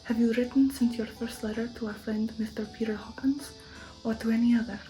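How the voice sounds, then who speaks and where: A woman voicing a commanding, formal old count in an ASMR storybook reading, with deliberate phrasing. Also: a little high, steady tone, mellow, faint music behind, lightly acted, poor audio. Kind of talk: ASMR audiobook character reading.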